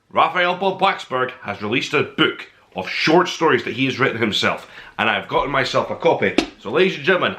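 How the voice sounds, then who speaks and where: A man's voice: mid-range voice, bright, forceful tone. A man hosting an informal book review podcast, speaking in a gushing, emphatic way and leaning on key words.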